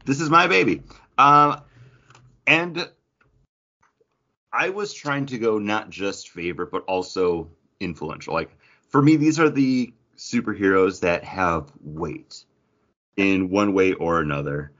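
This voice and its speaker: A man with a low-pitched voice co-hosts a casual podcast discussion. He explains his thoughts conversationally, as in a group chat. The audio is slightly dull and band-limited. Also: animated, slow, sharp tone. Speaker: podcast co-host.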